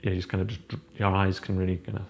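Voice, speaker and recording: A young architect chatting casually while showing a room in a house, rambling with many fillers and restarts. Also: male voice, sits low, flat delivery, racing, creaky. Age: young adult. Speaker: architect guest. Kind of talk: casual chat, house tour. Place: large open-plan house living room, indoors.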